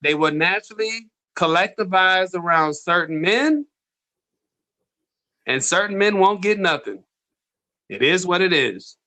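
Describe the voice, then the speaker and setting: A man speaking as a panel guest on an online call, blunt, profane and emphatic, and loud on his mic. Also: high-pitched, expressive, measured pace, long pauses.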